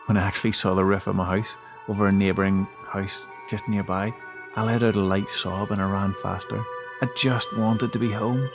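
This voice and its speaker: A man giving a dramatic first-person narration of a scary story, with halting, emotive delivery. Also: on the low side, steady tone. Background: background music.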